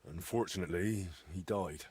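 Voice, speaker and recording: An actor playing an earnest, polite young blacksmith's son with a husky voice in a video game. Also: male voice, low voice, normal speed, darkish tone, no echo. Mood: subdued, earnest. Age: young adult. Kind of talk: video game dialogue acting.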